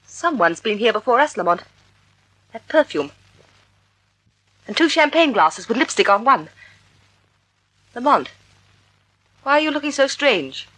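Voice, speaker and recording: A woman's voice: a little high, big pitch swings, unhurried, pauses often, a bit bright, no room sound, full character voice, poor audio. An actress playing a sophisticated, inquisitive woman sidekick with an arch theatrical delivery in a vintage radio mystery. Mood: alarmed. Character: sophisticated, playful, inquisitive woman, the sleuth's companion. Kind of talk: 1940s radio acting. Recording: old radio broadcast recording.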